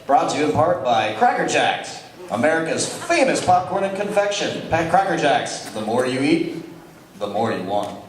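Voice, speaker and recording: A man's voice: on the high side, sing-song, normal speed, slight background noise. A man announcing in the scripted style of an old-time radio host reading a sponsor plug. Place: large hall, live stage show. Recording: very echoey hall, distant mic, faint music.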